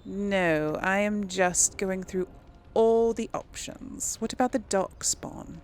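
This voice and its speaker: A woman's voice: mid-range voice, slow, slight background noise. A woman hosting a gaming video, role-playing casually and musing aloud in a hesitant way. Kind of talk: casual role-play reactions over a game.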